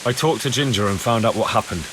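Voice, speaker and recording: A man's voice: fairly low pitch, lively intonation, quick pace, noisy background, lightly acted. An actor playing an earnest, plain-spoken young peasant man-at-arms in a video game, explaining himself respectfully. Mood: earnest, reporting. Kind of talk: video game cutscene acting.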